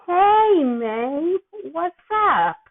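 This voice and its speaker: A woman's voice: very high-pitched, sing-song, drawn-out words, voice pushed up, heavily acted. A woman voicing a frazzled, defensive man looking after a superpowered teenager, in an amateur story reading. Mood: falsely breezy. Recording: thin, phone-like narrow-band sound.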